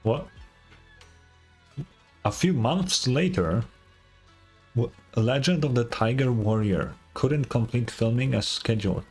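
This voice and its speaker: A man's voice: medium pitch, slow, pauses often, breathy edge, soft background music. A man streaming a game, casually reacting and reading on-screen text aloud in an offhand, unimpressed way.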